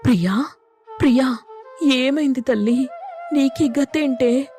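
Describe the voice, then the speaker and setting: A woman's voice: high-pitched, slow pace, dark tone, faint music behind. A woman playing a devoted, principled village elder sister who became an officer, earnest and reassuring in a moral story. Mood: caring, firm. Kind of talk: dramatised moral story acting.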